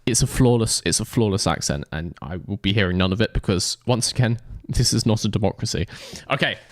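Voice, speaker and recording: A man's voice: lowish voice, brisk, no echo. A man streaming a racing game, commenting playfully and joking with his chat. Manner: playful, jokey, talks to chat. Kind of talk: live gameplay commentary.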